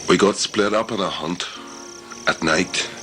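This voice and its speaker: An actor playing a gruff, world-weary jungle guide, terse, blunt and cynical, in a sci-fi audio drama. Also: male voice, low voice, measured pace, pushed and bright, soft background music. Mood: terse, wary. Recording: noisy amateur recording with background ambience.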